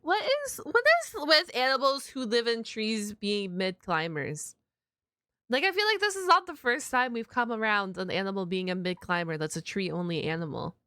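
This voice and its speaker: A woman's voice: medium pitch, expressive, normal speed, bright, forceful tone. A woman giving casual, off-the-cuff reaction commentary in an informal, curious way. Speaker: reaction video host.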